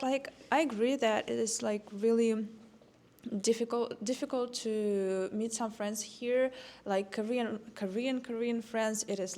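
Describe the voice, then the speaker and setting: A woman's voice: on the high side, a little mellow. Young woman with a Russian accent. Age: young adult. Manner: searching for words, repeats herself. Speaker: student panelist. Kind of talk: casual discussion.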